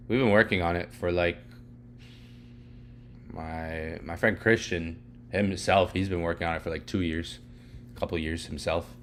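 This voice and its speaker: A man's voice: on the low side, a bit bright, slight background noise. Young man losing his voice, rambling. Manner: rambling, heavy filler "like" and "literally". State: losing his voice, clearing throat. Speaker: trading educator. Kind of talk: casual live chart walkthrough.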